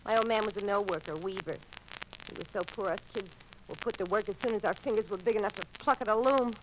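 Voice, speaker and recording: An actress playing a brassy, hard-bitten American gangster's moll, slangy and blunt, in a 1940s radio drama. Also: female voice, medium pitch, fast talker, close to an everyday voice. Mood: bitter, defiant. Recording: noisy, crackly old-time radio recording.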